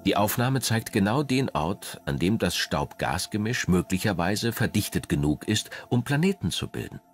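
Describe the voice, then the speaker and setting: A man reading scripted documentary narration in a measured, polished way. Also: fairly low pitch, sing-song. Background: background music.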